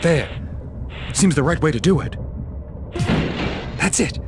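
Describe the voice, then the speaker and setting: An actor playing a cool, confident, wisecracking secret agent hero in a video game. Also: male voice, a little high, expressive, takes it slowly, over loud music. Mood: intent.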